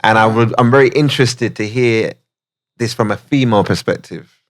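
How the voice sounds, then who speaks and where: A man's voice: fairly low pitch, normal speed. A man hosting a podcast, chatting casually and joking, then reading out a listener's letter. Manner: relaxed, jokey, laughs easily. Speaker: podcast host.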